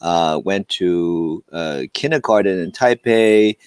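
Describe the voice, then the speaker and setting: A middle-aged man from Hong Kong, Taiwan and Southern California, telling his story casually as an interview guest, haltingly, repeating words and drifting into asides. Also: low voice, clear, resonant tone, dry recording, clean studio sound. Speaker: podcast guest, film producer.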